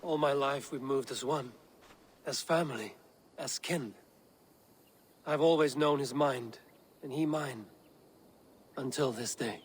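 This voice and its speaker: An actor playing a steadfast, defiant Viking warrior in a video game, speaking firmly and solemnly. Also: male voice, medium pitch, slow, pauses often, a little hiss or hum, close to an everyday voice. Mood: sober, sorrowful. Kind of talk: dramatic video game acting. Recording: faint game ambience behind.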